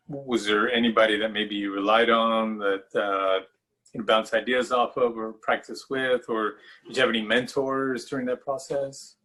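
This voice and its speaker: A man hosting an interview, asking questions casually and haltingly, with fillers and restarts. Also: lowish voice, a bit bright, poor audio. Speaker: interviewer, show host.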